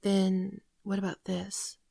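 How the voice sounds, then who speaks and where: A woman playing a soft, unhurried, gentle alien girl. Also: mid-range voice, monotone, gravelly, no echo, clean studio sound, full character voice. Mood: shy, nervous. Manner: soft-spoken, often whispery, hesitant, tender. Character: gentle shapeshifting female alien, naive, earnest, shyly affectionate. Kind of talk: ASMR roleplay acting. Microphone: close microphone, dry sound.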